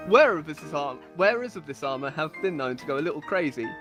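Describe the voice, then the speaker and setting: A woman's voice: sits low, lively intonation, quick pace, music in the background. A woman storyteller giving a dramatic, mock-epic reading of comic fantasy stories, with amused asides. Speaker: storyteller on a game server.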